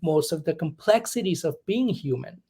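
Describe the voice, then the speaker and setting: A young man from Puerto Rico, a humanist chaplain being interviewed, who talks in a reflective, searching way with many pauses and asides. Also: on the high side, lively intonation, dark tone, slightly rough. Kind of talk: conversational interview.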